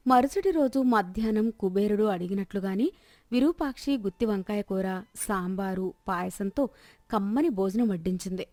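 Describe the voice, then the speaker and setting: Female storyteller for moral tales, scripted narration, mellow tone, dry close sound. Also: medium pitch, moderate pace, no echo.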